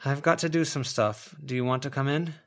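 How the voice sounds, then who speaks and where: A man voicing a guarded, weary young woman in an audiobook, who speaks haltingly and politely with some exasperation. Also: medium pitch, voice pushed up, natural voice. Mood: flustered, hurried.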